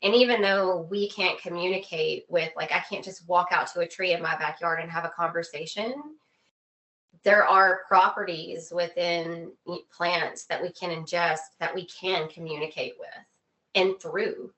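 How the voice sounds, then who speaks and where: A woman with a fairly low voice talks casually as an interview guest. She explains things earnestly, pausing haltingly and repeating phrases to drive them home. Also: steady tone, a bit bright, highs cut off.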